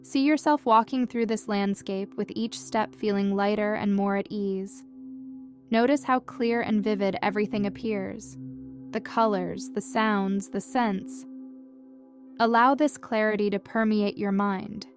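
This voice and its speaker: Woman, meditation guide, gentle soothing delivery, scripted narration, medium pitch, music in the background. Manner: gentle, calm, measured instructions with soothing delivery.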